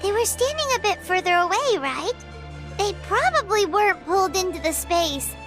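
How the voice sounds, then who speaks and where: Tiny fairy game companion: childlike, blunt, extremely high, very animated delivery. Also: female voice, moderate pace, over music. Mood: curious, blunt. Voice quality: squeaky, childlike. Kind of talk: video game voice acting.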